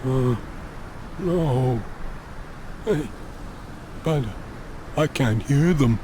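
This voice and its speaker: A man's voice: medium pitch, animated, extremely slow, background noise. An actor playing a superstitious, simple-minded and pitiful man in a video game, pleading in broken English. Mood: meek relief.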